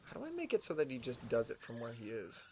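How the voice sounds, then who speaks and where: A man's voice: medium pitch, wildly swooping pitch, fast talker, darkish tone, slight background noise. A young man with a General American accent, rambling casually to himself while playing a video game. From United States. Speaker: streamer. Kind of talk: casual gameplay commentary.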